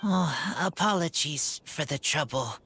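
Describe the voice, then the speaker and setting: A woman voicing a shy, solemn, wild teenage boy in a video game, speaking in short, halting sentences. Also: deep voice, animated, vocal fry, a bit bright, slight background noise, full character voice. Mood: quiet, sincere.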